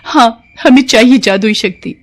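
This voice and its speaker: A woman's voice: a little high, normal speed, voice pushed up, natural voice. Woman playing an earnest wronged young sister. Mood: eager. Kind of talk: animated moral story character acting.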